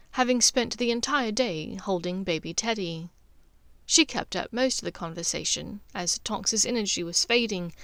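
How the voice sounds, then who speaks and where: A woman's voice: medium pitch, a bit bright, no room sound. A woman reading scripted fiction aloud as its narrator. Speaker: fan fiction author and narrator.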